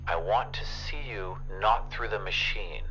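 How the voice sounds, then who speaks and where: Man playing a grave, earnest son, lowish and subdued. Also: measured pace, music in the background, lightly acted, poor audio. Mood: pleading. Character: grave, earnest adult son, questioning the machine.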